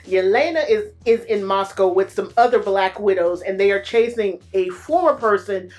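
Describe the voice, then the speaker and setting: A woman recapping a movie in a casual, animated way, full of slang and playful exclamations. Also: mid-range voice, moderate pace, soft background music. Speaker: movie reviewer.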